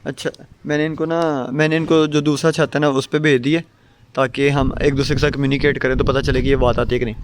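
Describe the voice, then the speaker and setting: A man's voice: mid-range voice, little pitch movement, fairly quick. A man giving a casual product demonstration outdoors as a reviewer, over wind noise. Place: outdoors, open field and rooftop.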